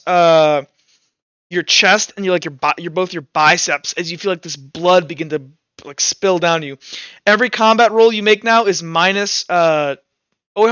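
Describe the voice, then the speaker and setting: A man running a tabletop game over online voice chat as game master, narrating combat and explaining rules in a halting way, often restarting his phrases. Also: high voice, fairly bright tone, clean tone, no room sound, dull audio. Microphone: voice call over Discord.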